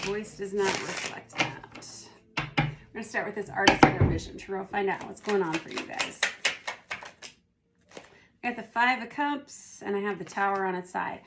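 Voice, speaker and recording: A hoarse woman who is losing her voice, doing a casual tarot reading and thinking aloud as she turns the cards. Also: mid-range voice, heavy background noise. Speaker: tarot reader.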